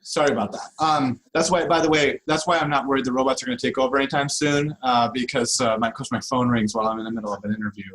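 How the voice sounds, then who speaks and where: A man's voice: mid-range voice, fast talker, few pauses, a bit bright, poor audio. A man speaking as an expert guest in an interview, explaining things informally and checking in with rhetorical questions. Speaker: interview guest, law professor.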